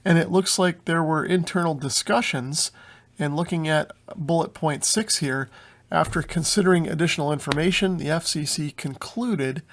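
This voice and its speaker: Male host, slightly high voice, informal explainer walking through paperwork point by point. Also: a bit bright. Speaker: host of a radio hobby channel. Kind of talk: explanatory commentary while reading through a document.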